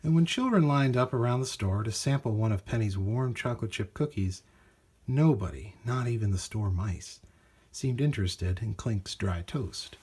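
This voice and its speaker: A man reads a children's story with theatrical emphasis. His voice is slightly rough-edged and husky, and his pitch moves up and down freely as he stresses key words. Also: moderate pace. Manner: expressive, dramatic emphasis. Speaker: storybook reader. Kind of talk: animated scripted storybook narration for children.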